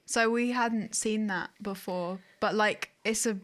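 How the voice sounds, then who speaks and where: A woman's voice: mid-range voice, fairly even intonation, a little slow, fairly bright tone. A woman co-hosting a podcast, chatting casually. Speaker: podcast co-host. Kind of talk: casual chat about films and shows.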